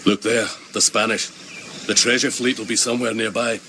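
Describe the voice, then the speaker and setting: An actor playing a gruff, determined knight in a video game, blunt and terse. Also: male voice, on the low side, flat delivery, fairly quick, slight background noise. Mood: alert. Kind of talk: video game cutscene acting. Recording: loud music behind. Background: music.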